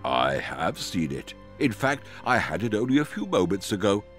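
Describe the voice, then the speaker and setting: A man voicing a sly, menacing jaguar in a children's story, with a deep, rumbling, rasping drawl. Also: sing-song, moderate pace, soft background music. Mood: slow menace.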